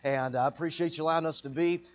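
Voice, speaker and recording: High-voiced man preaching a sermon. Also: fairly even intonation, dull audio. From Texas. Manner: builds to loud emphatic preaching with slow drawn-out stresses. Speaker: traveling evangelist preacher. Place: church sanctuary.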